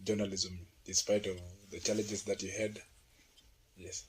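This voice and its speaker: Male host, lowish voice, terse questioning and quick affirmations, light background hiss. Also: little pitch movement, low-quality recording. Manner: brief prompts and affirmations, leads with questions. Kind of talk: interviewing a guest.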